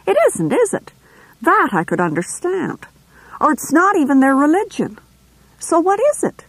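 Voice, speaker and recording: A woman's voice: high voice, huge pitch swings, moderate pace, no room sound, voice pushed up, full character voice, rough recording. A woman reading aloud, voicing a bossy, falsely polite middle-aged nurse who scolds and condescends in a sing-song way. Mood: disdainful. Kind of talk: audiobook reading.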